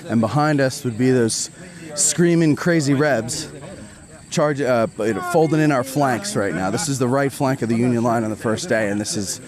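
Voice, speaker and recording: A man's voice: medium pitch, normal speed. A man in his forties doing a casual, joking interview on a group hike outdoors, recorded on a handheld recorder. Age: 40s. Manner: chatty, self-deprecating, joking. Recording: outdoor crowd noise around.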